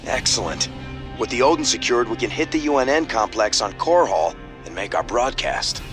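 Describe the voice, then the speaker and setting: An actor playing a determined rebel military leader in a video game, businesslike and confident. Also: male voice, medium pitch, expressive, normal speed, lightly acted. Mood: satisfied. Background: game music.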